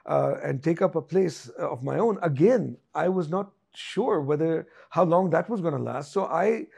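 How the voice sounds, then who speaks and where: Gravelly, husky-voiced man, halting. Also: fairly high pitch, expressive, a little mellow. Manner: halting, many fillers and restarts. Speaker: singer and composer, guest. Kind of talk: reflective interview talk.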